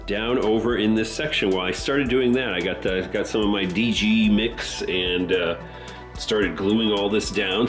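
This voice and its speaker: Casual hobby host: low-pitched man, stuffy nose from the flu, easygoing rambling delivery, faint music underneath. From Southern California. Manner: relaxed, rambling, many fillers. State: congested from the flu. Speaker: model railroad hobbyist host. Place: home layout room.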